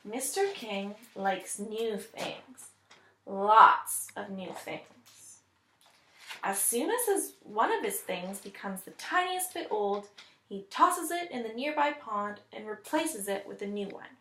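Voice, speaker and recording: A woman reading a picture book aloud as a teacher would, deliberate and expressive, pausing to think aloud. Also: mid-range voice. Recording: echoey room.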